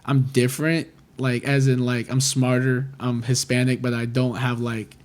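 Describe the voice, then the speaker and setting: A man's voice: medium pitch, level tone, moderate pace. A man in his twenties, a guest on a podcast, chatting casually and rambling with lots of "like" fillers. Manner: rambling, heavy use of "like" fillers, talkative. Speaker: record label manager, guest.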